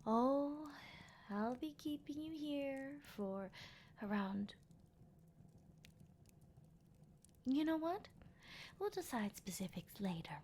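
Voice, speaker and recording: A woman's voice: sits high, darkish tone, a little hiss or hum, full character voice. A woman voicing a teasing, menacing vampire captor in an intimate, whispery ASMR roleplay, with a leisurely and playfully taunting delivery.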